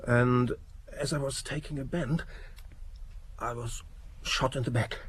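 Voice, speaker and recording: An actor in an audio drama playing a wounded man, weak and faint, answering in a husky, breathy voice. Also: mid-range voice, fairly even intonation, measured pace, slight background noise, natural voice. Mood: weak, pained. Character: wounded, weakened man straining to answer questions.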